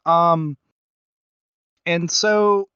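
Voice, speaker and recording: A man's voice: on the high side, fairly even intonation, drawn-out words, fairly bright tone, clear, resonant tone, no room sound, dull audio. A man co-hosting a casual review podcast, rambling and hesitant as he searches for his words. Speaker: podcast co-host reviewing audio series.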